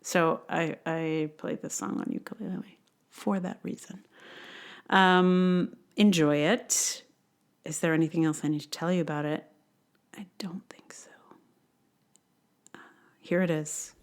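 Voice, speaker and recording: A woman's voice: low-pitched, steady tone, a little slow, pauses often. A woman talking casually and thinking aloud as she introduces a song on her podcast. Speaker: podcast host, ukulele player.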